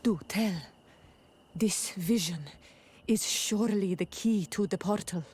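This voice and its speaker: An actress playing a proud young native clan princess in a fantasy video game, speaking earnestly and deliberately. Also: female voice, mid-range voice, darkish tone, breathy edge. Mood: concerned. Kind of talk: video game cutscene dialogue.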